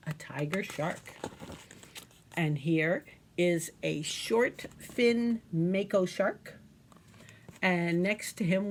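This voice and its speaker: A woman leads a story time for young children. Her pitch moves up and down in an animated way, with a creaky edge at times. She keeps her words simple and often turns to the listeners with questions, over faint background noise. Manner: simple, expressive, addresses children with questions and tag questions.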